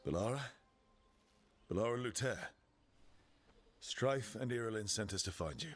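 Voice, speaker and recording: Adventuring team leader, low husky voice, dry, direct, fantasy video game hero. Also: male voice, lively intonation, moderate pace. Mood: matter-of-fact.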